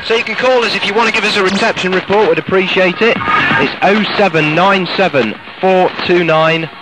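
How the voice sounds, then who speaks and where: Chatty British radio man over loud music. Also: high-pitched, brisk, rough recording. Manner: chatty, informal. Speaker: pirate radio presenter. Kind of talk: live, ad-libbed radio presenting between records. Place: outdoors in the countryside.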